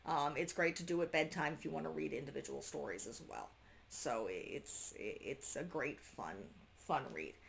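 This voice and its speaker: Female homeschool reviewer, lowish pitch with noticeable fry, informal and unscripted, frequently interrupts herself. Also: slight background noise. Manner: halting, self-interrupting, trailing off mid-sentence. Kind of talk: casual unscripted review to camera.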